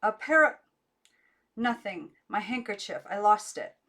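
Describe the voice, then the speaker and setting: A woman's voice: mid-range voice, lively intonation, measured pace, sharp tone, pitched above the performer's own voice. Woman reading as a puzzled, timid young girl. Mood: flustered. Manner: questioning, insistent. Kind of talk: audiobook character voice.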